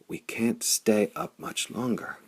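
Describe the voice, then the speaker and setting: Man acting a hushed, despairing woman. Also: fairly low pitch, slow pace, husky, voice pushed up, exaggerated voice. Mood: whispered despair. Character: pale, despairing young woman, quietly pleading.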